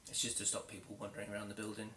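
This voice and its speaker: An actor playing a polite, fussy office receptionist who hesitates with uhs. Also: male voice, lowish voice, monotone, rapid-fire, close to an everyday voice. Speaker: council receptionist. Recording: echoey room with faint noise.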